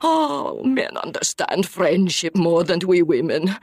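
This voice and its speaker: A man's voice: high voice, very animated, takes it slowly, no echo, studio quality, voice pushed up, full character voice. A man voicing a stout, grieving older wife who babbles on effusively through her tears. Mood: tearful. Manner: sobbing, rambling, effusive.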